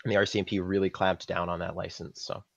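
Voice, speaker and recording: Canadian male podcast guest, lowish register, conversational, lo-fi recording. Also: flat delivery, fast talker, creaky. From Saskatchewan, Canada. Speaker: guest, film props master. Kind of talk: casual podcast interview, recounting career. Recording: dull lo-fi audio, slightly roomy.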